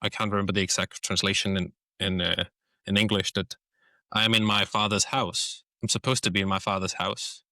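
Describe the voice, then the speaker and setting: A Danish man with a Danish accent hosts a relaxed conversation. His voice sits low yet carries a bright, forceful edge. He thinks aloud, restarting phrases as he searches for the right words. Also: a little fast, dry recording, studio quality. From Denmark. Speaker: podcast host. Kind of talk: casual conversation.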